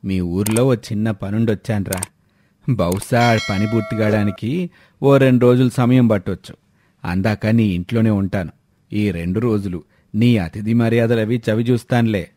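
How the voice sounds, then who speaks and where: A man playing a wise, polite village elder in a dramatised storybook tale, speaking in a measured way. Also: lowish voice, mellow, close to an everyday voice. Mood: friendly, calm. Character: courteous, wise village elder, male, calm matchmaker.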